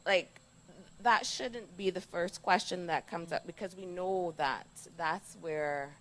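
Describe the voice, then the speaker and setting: Woman, Barbadian accent, slightly low pitch, interview guest, conversational, animated explainer. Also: slow pace, rough recording. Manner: animated explaining, acts out imagined remarks, checks with "yes?".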